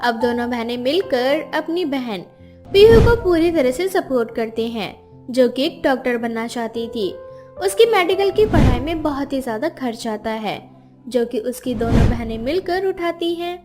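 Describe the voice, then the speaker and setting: A woman's voice: high voice, expressive, moderate pace, darkish tone. A woman storyteller reading scripted narration of a moral tale. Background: clear background music.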